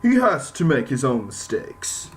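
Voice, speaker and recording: A man's voice: medium pitch, wildly swooping pitch, unhurried, echoey room. A man voicing a stern, curt father who lectures with open disapproval. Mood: resigned.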